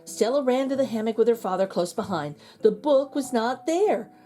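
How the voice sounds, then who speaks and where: A woman's voice: on the high side, expressive, normal speed, dark tone, faint music behind. A woman reading a children's storybook aloud as host, narrating expressively for young listeners.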